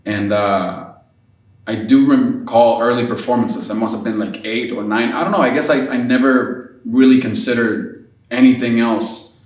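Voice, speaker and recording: A man's voice: medium pitch, normal speed. A man from Puerto Rico, a percussionist, talking casually and hesitantly about his work and family. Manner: relaxed, hesitant, many fillers. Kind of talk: casual interview answers. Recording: echoey room, distant mic.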